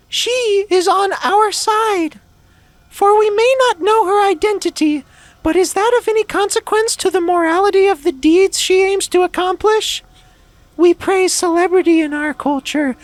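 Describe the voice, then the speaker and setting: A man's voice: very high-pitched, no echo, pitched above the performer's own voice. A man voicing a precocious little girl who lectures her father in a long-winded, moralizing way.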